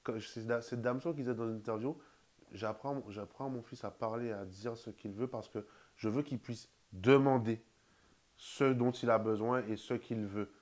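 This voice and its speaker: A man's voice: mid-range voice, fairly even intonation, moderate pace, a little mellow. A man in casual conversation, reflective and hesitant, restarting his sentences often.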